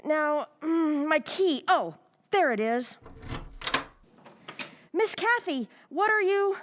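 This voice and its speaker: A fussy scolding housekeeper. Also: female voice, high-pitched, expressive, slow, lo-fi sound, noisy background. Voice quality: scolding, folksy. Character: fussy older woman housekeeper, bossy, easily panicked. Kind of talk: 1940s radio acting. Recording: old-time radio recreation with music.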